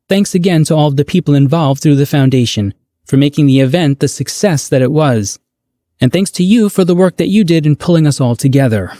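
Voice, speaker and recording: A man from the United States giving prepared, thoughtful podcast commentary in a measured, articulate, earnest way. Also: on the high side, warm tone, dry recording, studio quality.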